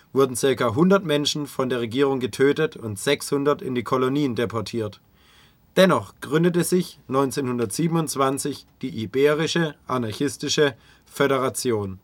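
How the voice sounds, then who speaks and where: Male narrator for a radio history feature, mid-pitched, scripted delivery, recorded dry. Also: no echo.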